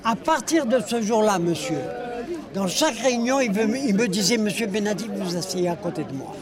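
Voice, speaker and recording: A man in his eighties from Algeria, telling an old story to friends in a lively, chatty way, often laughing. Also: sits high, fairly quick. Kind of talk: casual anecdote. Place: outdoor gathering. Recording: outdoor home video with busy crowd. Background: crowd chatter.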